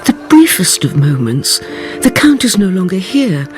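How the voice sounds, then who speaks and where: An actress playing a grave storyteller, narrating a radio drama in a deliberate, theatrical way. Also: female voice, medium pitch, sing-song, a little mellow, soft background music, close to an everyday voice. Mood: intense, hushed wonder. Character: grave, dramatic female storyteller recalling a tale of betrayal.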